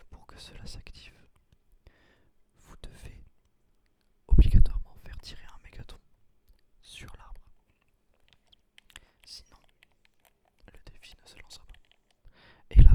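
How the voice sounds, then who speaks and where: A man whispering a gameplay commentary in ASMR style, explaining things in an unhurried, hesitant way. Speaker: gaming video creator.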